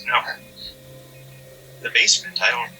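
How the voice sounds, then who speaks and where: An actor playing an alien thing posing as a dead husband, coaxing in a halting, folksy, rambling way that repeats itself, in a horror drama. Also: male voice, mid-range voice, fairly even intonation, recorded from a distance, over music, natural voice, poor audio. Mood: evasive, dismissive.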